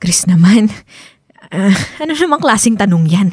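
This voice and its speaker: A woman's voice: mid-range voice, very animated, normal speed, darkish tone, slightly rough, no room sound, studio quality. A woman acting a young, defensive but loving girlfriend in a Filipino radio drama, emotional and conversational. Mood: soft, apologetic.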